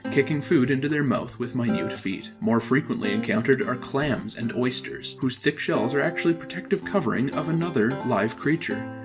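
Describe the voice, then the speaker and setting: Man, mid-pitched voice, formal scripted narration, measured explaining, guitar music behind.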